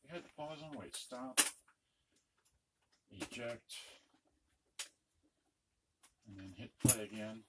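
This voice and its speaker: A man talks through a hands-on gadget demo in a casual, muttering way, as if half to himself. His voice sits at a middling pitch. The recording is clearly noisy, with clicks from handling. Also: measured pace. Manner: muttering to himself while working. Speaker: electronics unboxing presenter. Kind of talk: casual hands-on demonstration commentary. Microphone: camera on a tripod.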